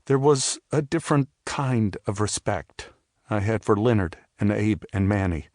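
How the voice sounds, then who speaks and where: A man's voice: lowish voice, animated, darkish tone, dry recording. Reflective older man narrating, dry studio sound. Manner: reflective, measured storytelling. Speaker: narrator and playwright telling his own story. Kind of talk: scripted dramatic narration.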